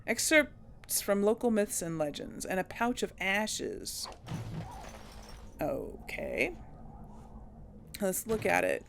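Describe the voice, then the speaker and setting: Lady gamer with a bit of vocal fry, chatting to viewers and reading game text out loud. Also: mid-range voice, slow pace, long pauses, slight background noise. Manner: chatty, talks to viewers while playing; reads game text aloud in an even voice. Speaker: let's play host. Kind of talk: casual gameplay commentary.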